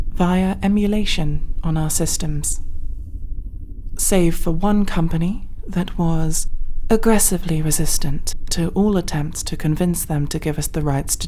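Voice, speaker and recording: A woman playing an aloof alien crewmate in a sci-fi ASMR roleplay, speaking in a stiff, precise, clinical way with deliberate pauses. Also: sits low, dark tone. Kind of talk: ASMR roleplay addressed to the listener.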